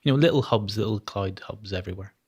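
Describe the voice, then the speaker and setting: Low voiced guy musing casually on a video call. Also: quick pace, darkish tone, husky. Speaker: panelist. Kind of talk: casual, tentative opinion.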